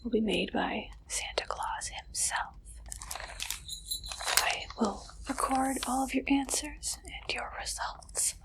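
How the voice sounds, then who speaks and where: A woman performing an ASMR roleplay as an interviewer, speaking directly to the listener in a soft, breathy, playful whisper. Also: sits high, slow, rough recording.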